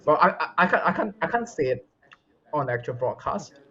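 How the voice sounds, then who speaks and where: A man's voice: fairly high pitch, sing-song, brisk, sharp tone, dull audio. A man who coaches esports, answering interview questions at length in an articulate, explanatory way, often restarting phrases. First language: English.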